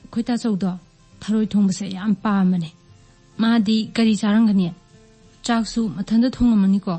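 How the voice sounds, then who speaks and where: A woman's voice: medium pitch, fairly even intonation, very fast, dark tone, soft background music, close to an everyday voice. A woman acting in a radio drama as an anguished wife longing for her missing husband, emotional and distressed. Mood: casual, domestic. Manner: emotional, tearful delivery in distress.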